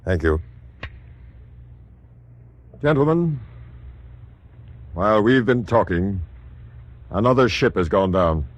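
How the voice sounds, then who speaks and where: An actor playing a forceful wartime naval officer, speaking in an authoritative, declarative way. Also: male voice, on the low side, animated, takes it slowly, pauses often. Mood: grave, resolute.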